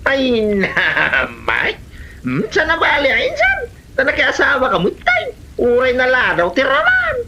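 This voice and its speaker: A woman's voice: high-pitched, huge pitch swings, a little slow, background noise, heavily acted. A woman with an Ilocano Filipino accent playing a gossipy, teasing village neighbour in an amateur comedy drama, full of questions and exclamations. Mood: mock wailing.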